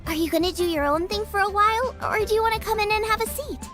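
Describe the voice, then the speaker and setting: A woman's voice: very high voice, fast, fairly bright tone. A woman voicing a bubbly, eager young chef in a video game, talking in a cheerful, enthusiastic way. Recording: game audio with music underneath.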